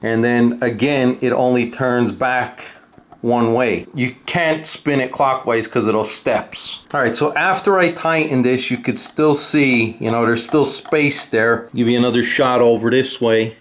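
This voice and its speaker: A man's voice: medium pitch, fairly even intonation, unhurried, a bit bright. A man giving a casual, unscripted hands-on tutorial and review, explaining step by step in a blunt way. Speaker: host of a guitar gear tutorial and review.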